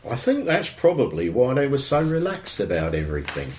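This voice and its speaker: An actor playing a grumbling, nosy middle-aged husband in an audio drama, with an English accent and a dry manner. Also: male voice, mid-range voice, wildly swooping pitch, fairly quick, darkish tone, echoey room, slight background noise. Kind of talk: naturalistic audio drama dialogue.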